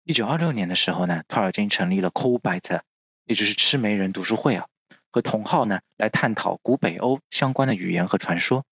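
A man hosting a podcast, explaining from notes and often ending phrases on soft filler particles. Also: fairly low pitch, lively intonation, slightly rough, no room sound. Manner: frequent drawn-out filler particles at phrase ends. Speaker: podcast host.

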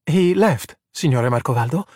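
A man voicing an anxious, excitable young boy who stammers and babbles in a storybook. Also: medium pitch, moderate pace, husky, no room sound, studio quality. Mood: anxious babbling. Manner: nervous, stammering. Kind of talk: children's storybook character voice.

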